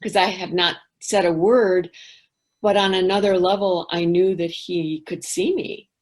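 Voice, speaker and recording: Middle-aged woman, interview guest; candid, reflective, a touch low in pitch. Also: fairly even intonation, unhurried. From England. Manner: thoughtful, candid, trails off into quiet asides.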